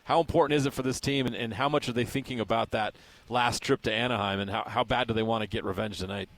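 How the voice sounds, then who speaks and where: Quick-talking man interviewing, dry sound. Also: mid-range voice, fairly even intonation, dry recording. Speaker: sports radio host, interviewer. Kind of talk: conversational interview questioning.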